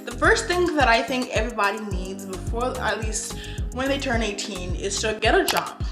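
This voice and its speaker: A woman's voice: a little high, lively intonation, normal speed, reverberant, over music. A seventeen-year-old girl from the USA talking casually to camera about money, with lots of "like" and "I don't know". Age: teens. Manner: chatty, full of "like" and "I don't know". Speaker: finance YouTuber.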